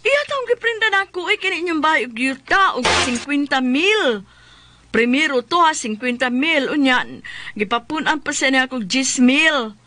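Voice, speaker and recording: Man acting an insistent, high-voiced Cebuano creditor. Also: lively intonation, moderate pace, pushed and bright, a little hiss or hum, heavily acted. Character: insistent male creditor, a family friend pressing for repayment. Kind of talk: comic radio drama acting.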